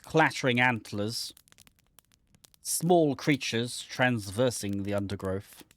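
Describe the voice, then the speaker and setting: A man's voice: medium pitch, a bit bright. A man reading a game script aloud in a theatrical, playful manner, slipping in dry asides. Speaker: gaming channel host.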